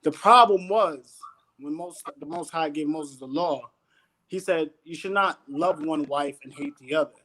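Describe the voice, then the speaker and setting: An animated man guests on a casual panel, preaching his views in street slang and checking in with "you know what I'm saying" over and over. His voice rides unusually high for a man. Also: a little slow, poor audio.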